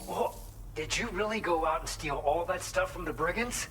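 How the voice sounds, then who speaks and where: A man's voice: on the high side, animated, moderate pace, heavy background noise, close to an everyday voice. An actor playing a conscientious, upbeat man who briefs a courier in a video game, talking in a chatty, earnest, explanatory way. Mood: impressed, excited. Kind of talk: video game cutscene dialogue.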